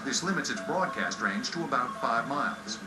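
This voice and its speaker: A man's voice: medium pitch, animated, normal speed. An American man reading scripted documentary narration with a playful, punchy delivery. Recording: roomy sound under loud music bed. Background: music.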